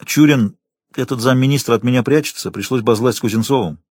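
A narrator plays a driven young male journalist, stubborn and insistent. His speech is terse and mid-pitched, in a dry audiobook sound. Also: quick pace, a little mellow, husky, dry recording, natural voice. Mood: guarded.

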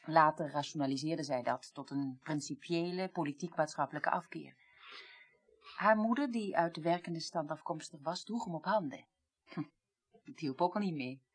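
An actress playing a weary, well-read middle-aged woman in a radio play, recounting the past deliberately and articulately. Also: deep voice, breathy edge. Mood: reflective. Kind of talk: 1980s radio play acting.

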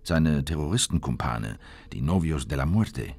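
An actor playing a sober government insider who narrates tense political events in a measured, deliberate way for a radio drama. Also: male voice, extremely low pitch, expressive, a little mellow.

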